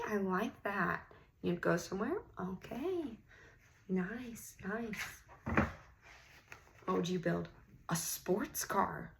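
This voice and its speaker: Slow-paced woman with a creaky voice, reading to children. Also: medium pitch. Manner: encouraging, teacherly, pausing and gasping theatrically. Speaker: read-aloud host, teacher-like reader. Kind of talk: children's picture book read-aloud with questions to the listeners.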